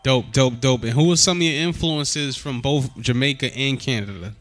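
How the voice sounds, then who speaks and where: A man hosting a casual podcast interview, upbeat and full of quick "word" and "yeah" replies. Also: mid-range voice, a bit bright. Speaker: podcast host and DJ.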